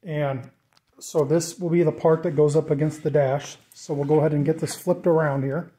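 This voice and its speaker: A man giving a casual, off-the-cuff walkthrough of a DIY project, informal and thinking out loud as he goes. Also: a little high, steady tone, moderate pace. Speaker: DIY vlogger presenting a build.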